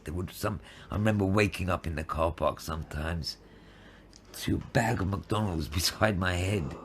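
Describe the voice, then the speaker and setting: A man in his fifties giving a halting, reflective personal testimony. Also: low-pitched, a little hiss or hum. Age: 50s. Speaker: interviewee telling own story of addiction and homelessness.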